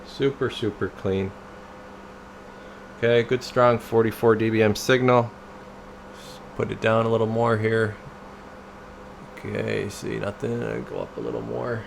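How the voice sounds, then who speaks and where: A man's voice: on the low side, measured pace, gravelly, pauses often. A man casually narrating an unscripted technical demo, muttering and thinking aloud as he works. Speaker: radio technician demonstrating a CB radio. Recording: noisy room, steady hum of equipment.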